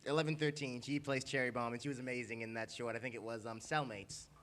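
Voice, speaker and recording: A man's voice: medium pitch, steady tone, fast, a crowd in the background. A man chatting casually as a panelist in a large convention room.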